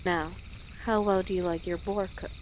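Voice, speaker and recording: A woman playing a small, wry orc traveler in an immersive audio roleplay, gruff but caring, with a dry, hesitant, matter-of-fact manner. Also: lowish voice, steady tone, normal speed, close to an everyday voice. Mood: matter-of-fact, friendly. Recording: clear outdoor nature ambience noise.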